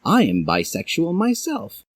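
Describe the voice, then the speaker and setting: A man's voice: a little high, wildly swooping pitch, unhurried, breathy edge, dry recording, voice pushed up, heavily acted. A man voicing an eccentric, reassuring skeleton professor with a lilting, theatrical delivery in a story. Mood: genial.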